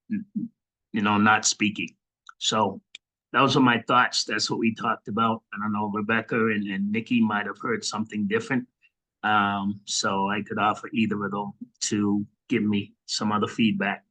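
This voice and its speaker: A man with a low voice and very flat intonation shares his reflections on a call. He speaks hesitantly, and his tone has a bright, forceful edge. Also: low-quality recording. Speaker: fellow reporting back from a small group. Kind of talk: casual group discussion. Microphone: video call.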